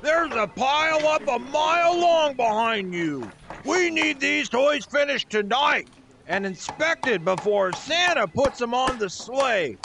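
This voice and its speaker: A man's voice: very high-pitched, expressive, slow, gravelly, noisy background, full character voice. An actor playing a bossy, hot-tempered male foreman elf who scolds in a comic Christmas radio play. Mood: impatient, scolding. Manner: scolding, exasperated, shouting.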